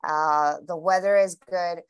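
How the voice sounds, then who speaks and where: A woman's voice: lowish voice, steady tone, measured pace, clear, resonant tone. A Colombian woman whose first language is Spanish, answering interview questions in English with many restarts and repeated words as she thinks aloud. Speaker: guest.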